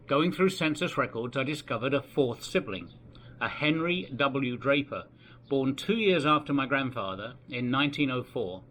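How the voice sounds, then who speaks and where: A man in his sixties from England with an English accent, narrating his family history from his home in a gentle, unhurried way. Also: mid-range voice, a little hiss or hum. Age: 60s. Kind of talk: reflective personal narration. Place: home in the Philippines.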